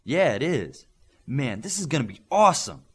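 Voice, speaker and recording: Guy doing an eager earnest young hero trainee in an audiobook, really animated pitch. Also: normal speed, breathy edge. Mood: excited. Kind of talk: audiobook character dialogue.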